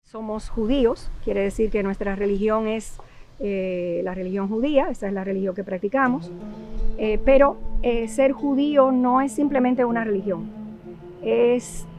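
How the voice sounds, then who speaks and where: A woman's voice: a little high, warm tone. Dominican woman, thoughtful and hesitant. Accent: Dominican Spanish. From Dominican Republic. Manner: thoughtful, often pauses on "eh" while searching for words. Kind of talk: documentary interview. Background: background music.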